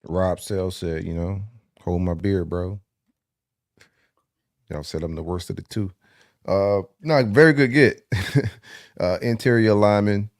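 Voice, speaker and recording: Man, podcast co-host, laid-back, joking, very low voice, dry sound. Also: measured pace, pauses often, no echo. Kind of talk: casual sports podcast talk.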